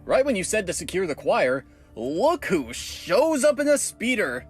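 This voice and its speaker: An actor playing an excitable husky-voiced trooper reporting to his officer in a sci-fi audio drama. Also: high-pitched, a little slow, exaggerated voice. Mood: urgent, eager. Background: music.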